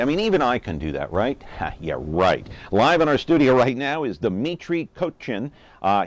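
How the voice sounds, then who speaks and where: A man in his fifties hosting a local TV talk show, chatty and upbeat as he interviews a guest in the studio. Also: medium pitch.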